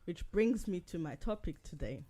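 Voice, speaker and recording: A lively woman hosts a casual group podcast with a slightly low voice. She was raised in Switzerland, fires off quick questions and laughs often as she steers the talk. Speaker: podcast host. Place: indoor podcast recording.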